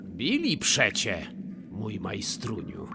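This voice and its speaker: An actor playing a scheming, miserly old notary in a verse radio play, wheedling and falsely sweet. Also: male voice, on the low side, huge pitch swings, takes it slowly, vocal fry, noisy background, full character voice.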